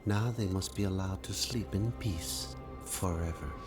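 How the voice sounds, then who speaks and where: A man playing a calm, solemn, protective artificial intelligence in a video game, speaking in a formal, grave, measured way. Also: low voice, music in the background. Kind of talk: scripted video game acting.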